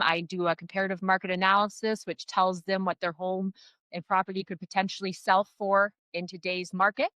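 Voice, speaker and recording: Woman, Canadian accent, middle-aged, bright forceful voice, dry close sound, upbeat chatty podcast guest. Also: medium pitch, no echo. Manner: upbeat, chatty, frequent "uh" fillers. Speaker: real estate agent, guest. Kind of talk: casual podcast interview.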